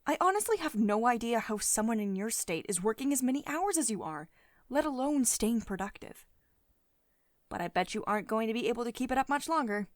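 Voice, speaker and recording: A woman's voice: fairly high pitch, lively intonation, brisk, no echo, natural voice. Woman doing a bossy lady ceo for asmr roleplay, strict n sardonic, really swoopy voice, dry close sound. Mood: concerned, exasperated. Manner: domineering, sardonic, clipped reprimands with sugary pet names. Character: stern, commanding female tech CEO, condescending yet caring.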